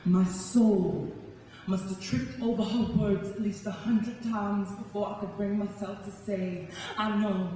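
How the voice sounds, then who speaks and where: A woman's voice: medium pitch, steady tone, unhurried, warm tone. A young woman, performing as a spoken-word poet at a live event. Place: live on stage in a large echoey hall. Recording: echoey hall from far off, faint noise.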